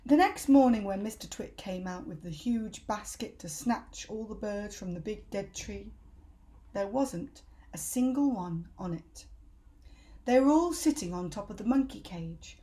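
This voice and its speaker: A woman reading a children's book aloud in an animated, emphatic storytelling style. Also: mid-range voice, unhurried. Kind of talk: expressive scripted narration for children.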